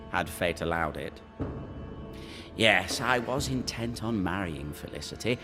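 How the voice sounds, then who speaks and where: A man voicing the flirtatious, deluded ghost of a sickly, lovesick gentleman in a theatrical, singsong video game performance. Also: mid-range voice, exaggerated voice. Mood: lovesick, wistful. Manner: theatrical, singsong, repeating phrases. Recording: long echoing reverb, music underneath.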